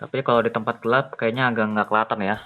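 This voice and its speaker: A man's voice: mid-range voice, level tone, racing, narrow, tinny audio, soft background music. Chatty man reviewing a phone. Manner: chatty, thinks aloud, addresses viewers as guys. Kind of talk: casual phone review vlog.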